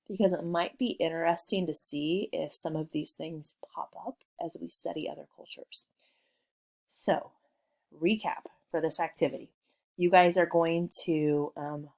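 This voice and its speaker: A woman from Missouri teaching a recorded remote lesson from home, talking in a friendly, relaxed way with frequent ums. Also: low voice. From Missouri, USA. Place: working from home.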